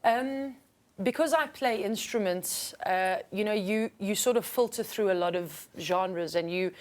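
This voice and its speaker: A woman with a South African accent and a low register, talking casually and earnestly as a singer being interviewed. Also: measured pace. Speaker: singer and musician guest.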